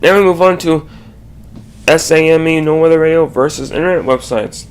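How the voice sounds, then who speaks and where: A man narrating a scripted slide presentation in a halting way, often stumbling and correcting himself. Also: a little high, clean tone.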